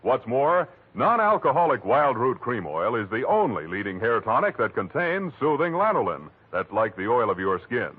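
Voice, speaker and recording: Animated male 1940s radio announcer, tinny sound. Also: mid-range voice, normal speed, hardly any pauses, husky. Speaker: radio announcer reading sponsor advertisement. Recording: tinny, fuzzy 1940s radio recording, music behind.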